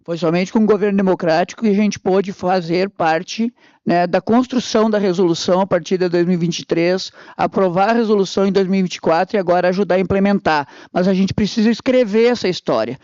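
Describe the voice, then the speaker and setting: Brazilian male activist, high voice, dry muffled sound. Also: quick pace, a little mellow, no room sound. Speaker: public health master's student and activist. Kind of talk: panel remarks in Portuguese. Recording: dry, dull lo-fi conference recording.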